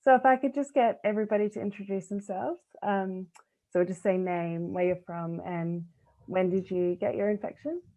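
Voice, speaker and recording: A woman's voice: mid-range voice, steady tone, moderate pace, mellow, muffled recording. A woman hosting a panel discussion on a video call, introducing the guests and moderating in an orderly way. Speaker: host.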